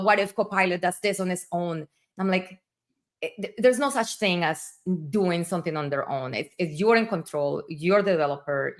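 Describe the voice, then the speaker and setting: A woman hosting a livestream, a native Spanish speaker with a Spanish accent, talking in an enthusiastic, chatty way full of fillers. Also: on the low side. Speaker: livestream host. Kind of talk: casual livestream conversation.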